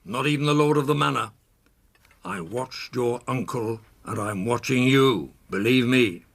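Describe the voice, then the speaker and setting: An actor playing a cantankerous, litigious country neighbour in a radio drama, with a blustering, pointed delivery. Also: male voice, mid-range voice, measured pace. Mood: menacing.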